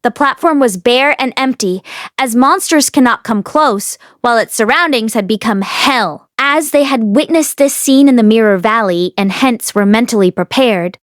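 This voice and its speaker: A woman's voice: fairly high pitch, normal speed, no echo, studio quality. A woman reading a fantasy novel aloud as an audiobook narrator. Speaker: audiobook reader. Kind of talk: expressive storybook narration.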